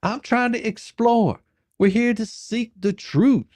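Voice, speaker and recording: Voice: medium pitch, slow pace, a little mellow, no echo. A performer playing a pushy, exasperated attorney in a dramatic reading of a deposition, badgering, sarcastic and coarse. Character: pushy, folksy, exasperated attorney questioning a witness.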